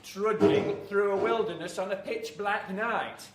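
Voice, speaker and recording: An actor playing a grumpy, sarcastic British woman lost in dark woods in a classic horror radio play. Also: mid-range voice, measured pace, natural voice. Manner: grumbling, dryly sarcastic, exclamatory. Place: theatre venue in front of an audience. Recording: roomy, noisy live stage recording.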